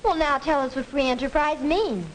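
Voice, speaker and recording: A woman's voice: high voice, moderate pace, lightly acted. An American woman playing an eager, pert schoolgirl debater, speaking emphatically in a 1940s educational film. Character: eager schoolgirl correcting her fellow debaters. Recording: noisy old film soundtrack.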